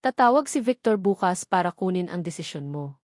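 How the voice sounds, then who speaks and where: A woman's voice: lowish voice, expressive, a little fast, a little mellow, dry recording, studio quality, close to an everyday voice. A woman voicing a weary, hurt young man in an audio drama, grave and intent as he plots. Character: weary, hurt young man, plotting against his cousin.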